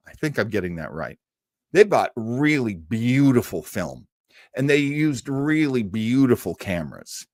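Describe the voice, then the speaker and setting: A man's voice: medium pitch, no echo, studio quality. An American man talking casually to livestream viewers as a show host, telling stories with a fan's enthusiasm and emphatic repetition. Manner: enthusiastic fan storytelling. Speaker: host of an old-time radio show; performer.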